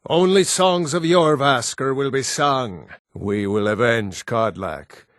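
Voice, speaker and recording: A proud, battle-hardened Nord warrior in a fantasy game. He speaks at a slow, deliberate pace with a commanding, solemn bearing. The voice sits in a middle male register and is recorded close and dry. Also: medium pitch, fairly bright tone, dry recording. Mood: grim, resolute. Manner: solemn, commanding, terse martial delivery. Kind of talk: fantasy video game voice acting.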